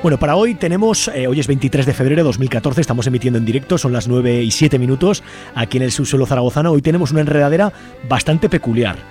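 A man's voice: mid-range voice, fast. A man hosting an informal community radio show, talking colloquially and directly to listeners and guests. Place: radio studio. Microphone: studio microphone. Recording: music playing behind the voice.

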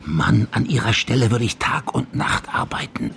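A man playing a brooding, controlled senior police official in a radio crime drama, with a gravelly voice. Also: mid-range voice, very animated, moderate pace, fairly bright tone, slight background noise. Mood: persuasive. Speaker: actor in the lead role.

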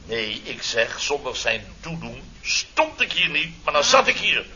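An actor playing an exasperated, grumbling company boss in a Dutch radio comedy serial from around 1970. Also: male voice, fairly high pitch, big pitch swings, unhurried, a crowd in the background. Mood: sarcastic grumbling. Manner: sarcastic, grumbling, exclamatory. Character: blustering, easily exasperated boss of a building firm. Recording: dull, hissy old radio recording.

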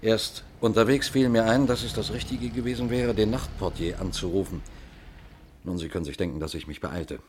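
A male actor as a composed colonel recounting a case in a radio mystery. His voice is low and measured, over a slightly noisy old recording. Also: steady tone, close to an everyday voice. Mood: composed storytelling. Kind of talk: scripted radio mystery narration and dialogue.

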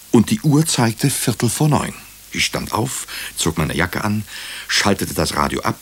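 A man's voice: very deep voice, fairly bright tone, husky, exaggerated voice. A man acting a doctor turned amateur sleuth in a radio drama, speaking with dry irony. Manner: dry, wry, ironic. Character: male doctor, wry amateur sleuth, narrating his own story. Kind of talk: radio drama dialogue and first-person narration.

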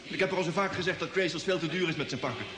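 Acteur als opgewonden, verwarde jonge ruimtevaarder, hoge stem. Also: male voice, fairly high pitch, rapid-fire, close to an everyday voice. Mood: irritated. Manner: stammering, indignant, agitated. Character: Jimmy, excitable, bewildered working-class young space traveller. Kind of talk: old radio drama acting. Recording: echoey, noisy old radio play recording.

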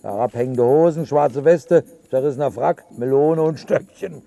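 A man's voice: mid-range voice, moderate pace, creaky, dark tone, close to an everyday voice. A man acting in a radio drama as an idle, sneering shut-in who muses and repeats his words. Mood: grumbling. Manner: musing, hesitant, repeating words. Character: idle, grumbling male shut-in, sneering at the world above.

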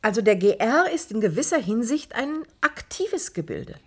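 An actress playing a curious, probing interviewer in a radio drama. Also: female voice, a little high, very animated, moderate pace, husky.

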